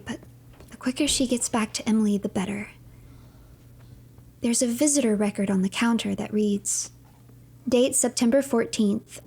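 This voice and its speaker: A woman narrating a video game playthrough as a dramatic story, in a theatrical, deliberate manner. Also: a little high, vocal fry, a little mellow, husky.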